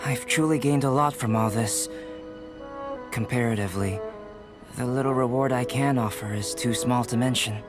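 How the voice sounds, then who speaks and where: A man playing a calm, polite young alchemist. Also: medium pitch, lightly acted. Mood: grateful. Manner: measured and polite. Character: calm young male alchemist, curious and composed. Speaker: alchemist researcher. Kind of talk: video game voice acting. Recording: game audio with music behind.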